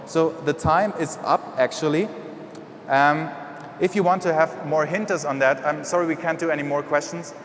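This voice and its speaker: Hesitant presenter in a reverberant hall. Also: male voice, a little high, steady tone, normal speed, a bit bright. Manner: hesitant, with fillers and restarts. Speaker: conference presenter. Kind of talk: wrapping up a tech talk. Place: large conference hall. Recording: hall reverb, faint noise.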